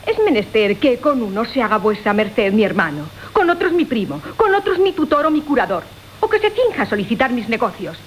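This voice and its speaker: Demanding, aggrieved young kept woman, high-pitched declamation for period radio farce, light hiss. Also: big pitch swings, fairly quick. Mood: brisk scheming. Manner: declamatory, mock-formal. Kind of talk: theatrical radio play acting of classical comedy. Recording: hissy old radio recording.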